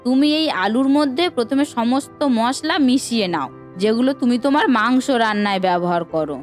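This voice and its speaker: A woman's voice: on the high side, animated, brisk, faint music behind, lightly acted. A woman voicing an affectionate, earnest village wife who reassures her husband in a cartoon story. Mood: encouraging. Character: supportive, encouraging village wife of a biryani cook. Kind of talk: Bengali cartoon story voice acting.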